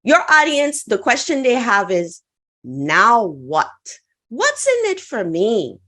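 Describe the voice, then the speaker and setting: A woman's voice: on the high side, wildly swooping pitch, slow, pushed and bright. A woman from the Caribbean whose first language is French, giving an online conference talk in an upbeat coaching manner and often asking the audience to answer in the chat. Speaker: conference speaker.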